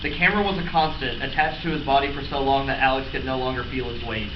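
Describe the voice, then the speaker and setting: A man's voice: medium pitch, fairly even intonation, quick pace, recorded from a distance. A man reading stories aloud to a group in a playful, mock-dramatic storytelling style. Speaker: reader of fan fiction. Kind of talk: amused live read-aloud of comic stories to a group. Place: echoey room with a laughing group. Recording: noisy, echoey, muffled room recording from afar.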